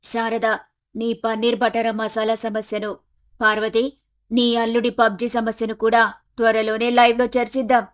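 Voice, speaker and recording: A high-voiced, feisty older village matriarch played by a woman for an animated family story. She grumbles and declares her grievances with indignant self-importance. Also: moderate pace, close to an everyday voice. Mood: self-important. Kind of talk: animated moral story acting.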